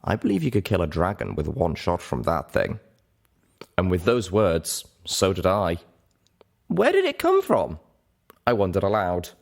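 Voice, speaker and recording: A man's voice: low voice, sing-song, moderate pace, creaky. A man giving a dramatic scripted narration of a story as an audiobook reader.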